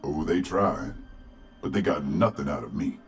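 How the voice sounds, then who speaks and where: An actor playing a big, gruff, unflappable resistance agent in a video game cutscene, blunt and laconic. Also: male voice, rumbling low, lively intonation, normal speed. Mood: easygoing, dry. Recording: music clearly behind dialogue.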